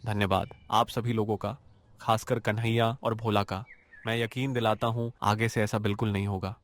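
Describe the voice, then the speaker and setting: A man's voice: lowish voice, level tone, normal speed, pitched above the performer's own voice, lightly acted. A man voicing a humbled, repentant village landowner in a cartoon story, contrite and grateful.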